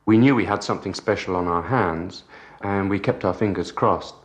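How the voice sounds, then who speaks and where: A British man, a book publisher giving a measured, articulate and formal interview answer. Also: low voice.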